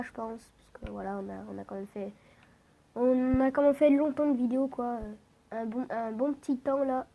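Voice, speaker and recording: Teen girl w a cold, nasal and stuffy, rambling while gaming, kinda high but mellow voice. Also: low-quality recording. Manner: rambling, full of fillers and restarts. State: congested, talking through the nose from a cold. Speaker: gaming vlogger. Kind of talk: rambling casual chat over gameplay.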